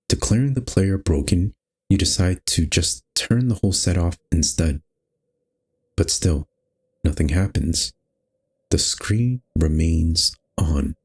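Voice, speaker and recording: A man narrating a visual novel aloud as host, in an expressive, measured storytelling style. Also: low-pitched, husky.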